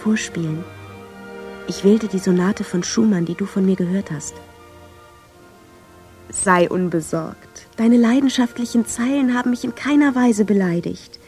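Casting: actress as lovestruck young 1910s woman reading love letters, soft dark tone, mid pitch, tender and measured. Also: natural voice. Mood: tender, yearning. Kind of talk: dramatic reading of love letters, radio drama. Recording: clear violin music under the voice. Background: violin music.

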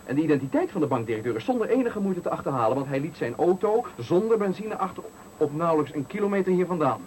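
An actor in a Dutch radio play playing a taunting, menacing stranger who speaks with deliberate, needling politeness in a Netherlands accent. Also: male voice, a little high, very animated, darkish tone. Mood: coldly accusing. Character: menacing, taunting male stranger cornering a man at night. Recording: faintly noisy 1980 radio recording.

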